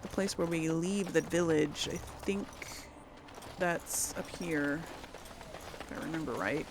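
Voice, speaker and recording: A woman's voice: low voice, unhurried, background noise. A woman hosting a gaming video, giving casual commentary and thinking aloud informally as she plays.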